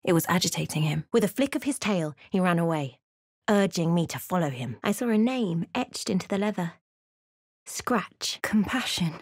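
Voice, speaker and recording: A woman playing a wry, watchful female adventurer in a fantasy video game, with measured, dramatic storytelling delivery. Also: lowish voice, lively intonation, dry recording, clean studio sound. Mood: measured, grave. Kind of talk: scripted fantasy game narration and dialogue.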